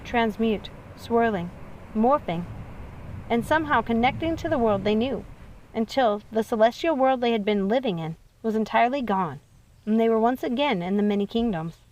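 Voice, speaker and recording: Higher-voiced woman reading a storybook. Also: animated, normal speed, darkish tone, low-quality recording. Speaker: audiobook narrator. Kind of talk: expressive storybook narration.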